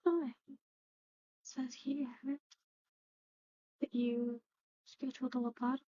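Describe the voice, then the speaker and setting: A woman doing an ASMR roleplay, speaking softly and in whispers, with a hesitant, halting, gently conversational manner. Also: high-pitched, level tone, no echo, highs cut off.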